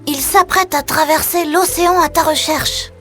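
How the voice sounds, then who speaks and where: A high-voiced messenger pigeon acted by a woman from a children's storybook. The bird is helpful, earnest and urgent, with faint music behind. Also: steady tone, normal speed, fairly bright tone.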